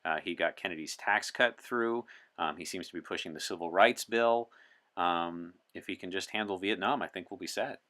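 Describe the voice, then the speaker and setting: Male performer as opinionated time traveler from the sixties, low-pitched and forceful, wry, articulate podcast guest. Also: moderate pace. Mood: earnest. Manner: articulate, wry, dry humor. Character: opinionated, wry science-fiction journalist time traveler from 1964. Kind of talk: in-character interview chat.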